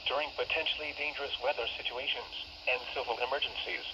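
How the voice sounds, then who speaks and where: A computer-generated man's voice reading an automated weather forecast and test announcement in measured, clipped phrases. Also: mid-range voice, fairly even intonation. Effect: text-to-speech voice. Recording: lo-fi radio speaker with loud static. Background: loud radio noise.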